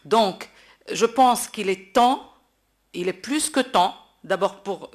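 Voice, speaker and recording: A woman's voice: mid-range voice, slow pace. Woman from morocco, talking unscripted at a public debate, emphatic, repeats words while hunting for the right phrase. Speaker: classical opera singer speaking at a panel. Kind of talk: impromptu public speech at a debate.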